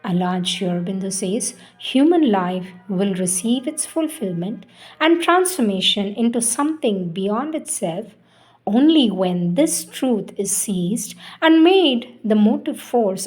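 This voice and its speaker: Slow, solemn woman reading devotional text. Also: a little high, very animated, darkish tone, faint music behind. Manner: deliberate, emphatic, pausing between phrases. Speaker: reader of spiritual teachings.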